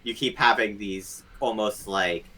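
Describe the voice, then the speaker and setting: A man's voice: fairly low pitch, a little slow, pushed and bright, rough recording. A man speaking as a game developer guest in a casual interview, explaining things in an analytical, detailed way.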